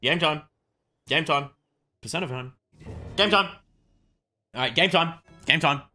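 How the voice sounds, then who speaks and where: A young adult man chatting excitedly on a gaming livestream, tossing out short repeated catchphrases. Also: fairly high pitch, a little slow, soft background music. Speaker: game streamer.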